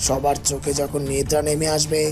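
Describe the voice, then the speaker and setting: A man's voice: fairly high pitch, completely flat delivery, fairly quick, over music, lightly acted. A man playing a scheming, gloating villain in a melodramatic fairytale cartoon monologue. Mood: sly, gloating. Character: scheming villain lurking, gloating over planned havoc.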